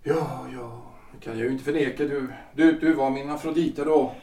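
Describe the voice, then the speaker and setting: An actor playing a bewildered, pleading middle-aged husband in a period audio drama, halting and repeating his words, heard in an echoing room. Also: medium pitch, fairly even intonation, dark tone, natural voice. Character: bewildered, pleading husband of 43, 1900s lawyer-politician. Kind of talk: period stage-play audio drama acting. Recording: roomy echo, distant from the mic.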